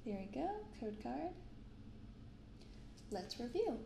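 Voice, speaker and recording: A young woman speaking softly in an ASMR style, unhurried as she comments and reads aloud. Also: fairly high pitch, big pitch swings, vocal fry, roomy sound, a little hiss or hum. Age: young adult. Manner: unhurried, hushed commentary and reading from cards. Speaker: host of a card-opening channel. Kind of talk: soft-spoken ASMR. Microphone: binaural microphone.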